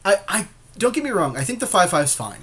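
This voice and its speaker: A man's voice: high voice, big pitch swings, brisk, sharp tone, slightly rough. A man co-hosting a gaming podcast, talking casually through his card plays in loose, slangy conversation. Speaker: podcast co-host playing a card game. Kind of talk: casual game commentary chat.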